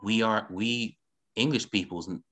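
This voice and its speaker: A podcast host whose voice is bright and forceful. The host reacts in an animated way and encourages the guest often. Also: mid-range voice, a little slow. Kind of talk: podcast interview.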